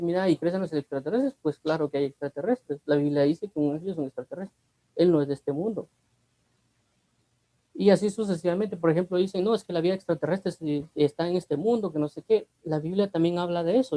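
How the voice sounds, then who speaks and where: A man's voice: fairly high pitch, mellow, dry recording. Guatemalan man teaching the Bible. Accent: Guatemalan Spanish. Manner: conversational, repeats himself, asks rhetorical questions. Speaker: preacher.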